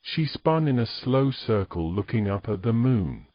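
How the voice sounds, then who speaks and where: A man's voice: on the low side, sing-song, measured pace, no echo, highs cut off. A man reading scripted narration as the storyteller of a fantasy audio drama.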